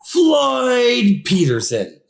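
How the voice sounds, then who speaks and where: A man's voice: very high-pitched, wildly swooping pitch, extremely slow, gravelly, voice pushed up, exaggerated voice. A man voicing a whiny, indignant monster with good manners, in a theatrical children's read-aloud style. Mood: exasperated, pleading. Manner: theatrical, exaggerated complaining. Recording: echoey room.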